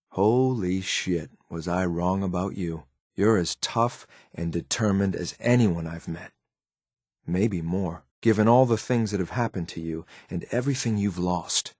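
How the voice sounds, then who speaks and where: A man acting as a stoic, loyal but ruthless synthetic soldier in a video game, with a clipped, military, deadpan delivery. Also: deep voice, no echo, clean studio sound. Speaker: companion character in a video game. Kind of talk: scripted game voice lines.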